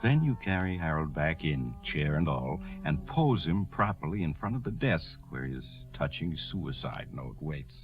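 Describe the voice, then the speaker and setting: Sinister storyteller, very low, sardonic narration, music behind, tinny 1940s radio recording. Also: male voice, sing-song, normal speed, hardly any pauses. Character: mysterious, sinister male storyteller, sardonic and insinuating. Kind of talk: dramatic second-person 1940s radio narration.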